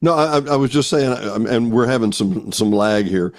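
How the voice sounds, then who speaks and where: A man's voice: medium pitch, brisk. A man hosting an interview in a casual, conversational style. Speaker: interview host. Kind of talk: casual chat.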